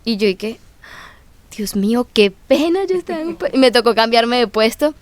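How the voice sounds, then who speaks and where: Smooth-voiced lively young woman. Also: on the high side, moderate pace, dry recording. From Venezuela. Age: young adult. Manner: animated, acts out dialogue. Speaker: guest, student. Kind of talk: lively anecdotal chat.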